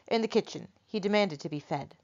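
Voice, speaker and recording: A woman reading the lines of a brisk widow who keeps an inn. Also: medium pitch, a little mellow, close to an everyday voice. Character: innkeeping widow, brisk and watchful. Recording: dull lo-fi recording.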